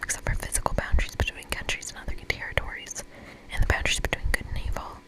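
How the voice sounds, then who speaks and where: A woman's voice: fast, natural voice. A woman voicing a spoiled, pushy, scheming male monster from a game in a whisper, demanding and pleading dramatically. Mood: indignant, demanding.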